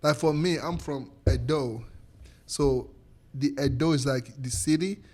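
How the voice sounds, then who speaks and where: A young man with a Nigerian accent, a native speaker of a local Edo language, chatting casually and telling stories in an animated, repetitive way. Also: a little high, a little slow. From Nigeria, Edo State. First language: Edo State local language. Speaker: podcast guest, former college athlete. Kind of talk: casual chat.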